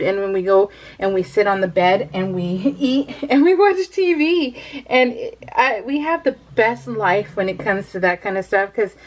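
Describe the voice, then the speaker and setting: A woman's voice: fairly high pitch, poor audio. A middle-aged woman chatting casually to her viewers while she crafts at home, rambling with lots of fillers and frequent laughs. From California. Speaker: crafting vlogger.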